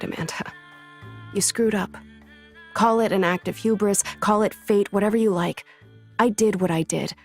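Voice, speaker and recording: A woman's voice: mid-range voice, vocal fry. An American actress playing a wry, stubborn, self-reflective teenage girl in a naturalistic audio drama, speaking casually and bluntly. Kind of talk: intimate, naturalistic audio drama monologue and dialogue.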